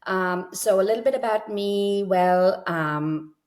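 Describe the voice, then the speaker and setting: A woman's voice: mid-range voice, takes it slowly, a little mellow. A middle-aged woman from India, telling her story earnestly and reflectively as a guest in an interview. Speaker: guest, children's author.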